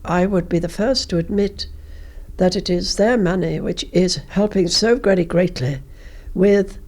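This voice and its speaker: An older woman, a former politician, reminiscing in an interview in a measured, anecdotal way. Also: on the low side, a little mellow.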